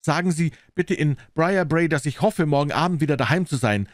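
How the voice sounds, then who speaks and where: A man voicing an anxious, eager young gentleman in an audiobook, polite and earnest in manner. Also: on the high side, big pitch swings, a little fast, dry recording, clean studio sound, pitched above the performer's own voice. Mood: worried.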